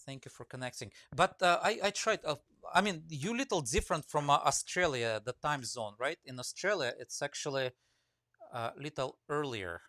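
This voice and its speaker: A man's voice: a little high, moderate pace, bright, forceful tone, no echo, clean studio sound. A man with a Russian accent chatting casually on a live stream, greeting viewers by name and rambling with lots of fillers. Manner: rambling, many fillers, reads comments aloud. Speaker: woodcarving teacher live-streaming.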